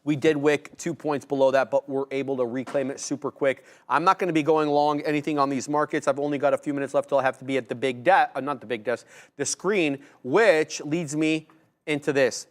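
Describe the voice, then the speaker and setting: A young man co-hosting a live trading stream, calling price levels and promoting segments in an energetic, jokey way with trader slang. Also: fairly high pitch, fairly quick. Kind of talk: live market commentary.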